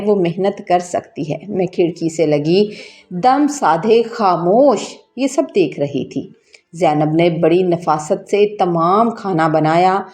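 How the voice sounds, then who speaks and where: A woman's voice: mid-range voice, a little mellow. A woman reads a scripted first-person story as a storyteller, with an earnest, emotive, dramatic delivery. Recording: music bed under the voice. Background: background music.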